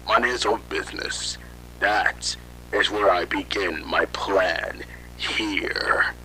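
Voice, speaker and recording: A man playing a guilt-ridden scientist in hiding, telling his story haltingly in an amateur horror audio drama. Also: medium pitch, pushed and bright, a little hiss or hum, heavily acted, rough recording. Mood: brooding, regretful. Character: guilt-ridden fugitive scientist father recounting his failed experiment.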